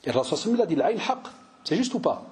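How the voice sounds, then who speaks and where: A man in his forties from Belgium, an imam giving a religious lecture, telling lively stories and mixing in Arabic phrases. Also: high-pitched, rapid-fire. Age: 40s. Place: mosque cultural center hall.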